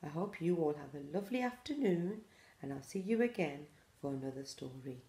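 A woman in her forties gently reading a picture book aloud to pre-school children, with expressive storytelling. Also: deep voice, a little fast, echoey room. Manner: gentle, addressing small children. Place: small room indoors.

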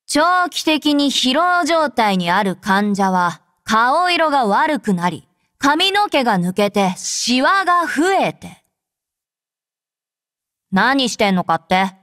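High, brash tsundere android girl. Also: very animated, takes it slowly, fairly bright tone, dry recording, studio quality, full character voice. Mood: gruff, caring. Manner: rough, tomboyish, blunt speech. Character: brash, tsundere young female android soldier. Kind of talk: Japanese anime game character voice lines.

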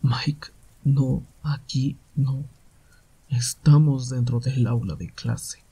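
A man playing a tired, gloomy, reserved hero teacher in an anime roleplay, with a subdued, breathy voice. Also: mid-range voice, fairly even intonation, slow pace, warm tone, heavily acted, low-quality recording. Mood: uneasy, reluctant. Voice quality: husky, breathy. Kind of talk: anime fan-dub ASMR roleplay.

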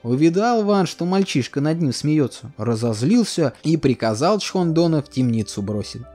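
A man narrating a fairy tale for a cartoon in a sing-song, expressive storytelling manner. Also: a little high, moderate pace. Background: faint music.

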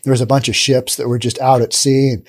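A man in his thirties hosting a podcast, giving casual, sarcastic commentary on the news into a studio boom mic. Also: flat delivery, fast. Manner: sarcastic, acts out imagined dialogue. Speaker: podcast host. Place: dim studio with brick wall and neon sign.

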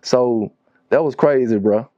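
Mellow Louisiana man, slangy and dry-sounding. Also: mid-range voice, measured pace, no room sound, muffled recording. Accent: Louisiana Southern US. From Shreveport, Louisiana, United States. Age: 30s. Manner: slangy, conversational. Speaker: music producer, interview guest. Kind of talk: casual interview storytelling. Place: small wood-panelled recording studio.

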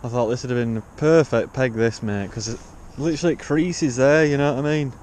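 A man's voice: medium pitch, fairly quick, a little mellow. English man chatting over outdoor noise. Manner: informal, slangy. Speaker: angler hosting a fishing vlog. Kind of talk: casual chat while fishing. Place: outdoors on a riverbank. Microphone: body-worn action camera. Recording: noisy outdoor action-camera sound.

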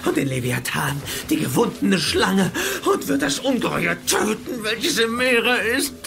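A man's voice: high voice, very animated, unhurried, creaky, music in the background, full character voice. An actor playing a deranged man, lost in his own world, solemnly reciting cryptic biblical verses in a crime radio drama. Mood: ominous, fevered.

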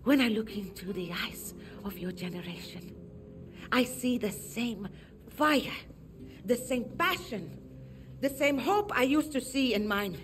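A woman playing a solemn, hopeful veteran revolutionary giving a measured, earnest speech to comrades in a video game. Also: fairly high pitch, animated, soft background music. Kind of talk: dramatic speech.

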